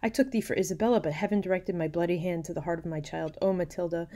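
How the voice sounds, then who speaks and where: Woman playing a loud, melodramatic tyrant father. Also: lowish voice, quick pace, close to an everyday voice. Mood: horrified remorse. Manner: loud, exclamatory archaic dramatic speech. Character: murderous tyrant father, enraged, then remorseful.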